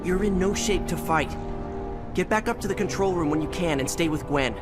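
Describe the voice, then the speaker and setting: A man's voice: high-pitched, brisk, over music, natural voice. Plucky teenage boy hero, voiced by a man. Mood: wry, firm. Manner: earnest, plucky, casual. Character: determined, confident, wisecracking teenage boy hero. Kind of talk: video game cutscene acting.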